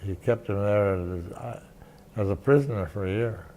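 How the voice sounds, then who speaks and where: An older man, a veteran journalist, recounting memories in an interview, halting and restarting often. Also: fairly low pitch, warm tone. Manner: halting, many restarts and fillers. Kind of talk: reminiscing interview.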